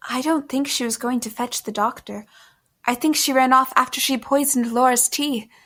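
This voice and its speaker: A high, youthful female voice, acted by a woman playing a hunted young woman in an audio drama. She is frightened and bewildered. Her delivery is earnest and pleading, with hesitant breaks. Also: fairly even intonation, moderate pace, fairly bright tone. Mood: anxious, earnest.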